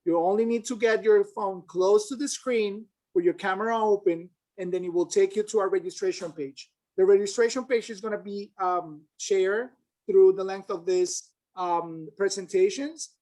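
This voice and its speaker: A woman with a medium-pitched voice serves as the event host. She gives instructions and introductions informally, with halting pauses as she goes. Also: dark tone. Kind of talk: announcements and guest introduction.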